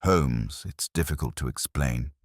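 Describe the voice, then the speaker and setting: A man's voice: rumbling low, lively intonation, measured pace, dry recording, studio quality, exaggerated voice. A man voicing a nervous, bewildered costumier's clerk in an audiobook mystery, formal, hesitant and deferential. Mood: troubled.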